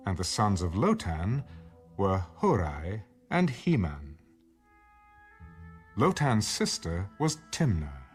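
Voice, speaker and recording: A man narrating an audio Bible, reading scripture in a measured, solemn way with careful enunciation. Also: deep voice, wildly swooping pitch, faint music behind.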